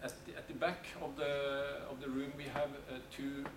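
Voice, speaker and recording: A man's voice: mid-range voice, little pitch movement, moderate pace. Hesitant man in an echoey room. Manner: hesitant, many fillers and restarts. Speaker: seminar organizer. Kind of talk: announcements at a seminar. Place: meeting room. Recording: echoey room from far off, noisy.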